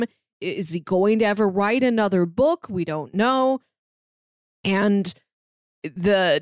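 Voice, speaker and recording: A woman's voice: mid-range voice, dry recording. Casual woman podcaster, dry close sound. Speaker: podcast co-host. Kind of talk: casual, conversational plot summary.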